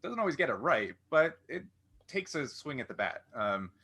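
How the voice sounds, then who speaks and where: A man's voice: mid-range voice, very animated, normal speed, sharp tone, husky. A man hosting a casual interview, talking conversationally and searching for his words as he thinks aloud. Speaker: interview host.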